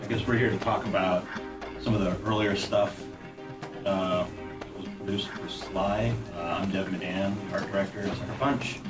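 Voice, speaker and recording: A man who works as an art director, giving relaxed, agreeable commentary over game footage and looking back on past work. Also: sits low, normal speed. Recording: roomy, distant mic with game music. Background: game music.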